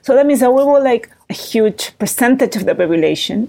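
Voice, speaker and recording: A woman's voice: fairly high pitch, animated, fairly quick, vocal fry, warm tone. A woman in her thirties with a Chilean accent, a startup founder talking animatedly in an interview, full of fillers and rising tags. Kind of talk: interview conversation.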